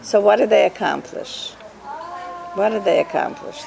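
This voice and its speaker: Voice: medium pitch, moderate pace, vocal fry, darkish tone, slight background noise, rough recording. An older interviewee born in Russia with Russian as a first language, telling life stories emphatically and asking rhetorical questions. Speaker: Yiddish teacher, interviewee. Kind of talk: personal storytelling in an interview.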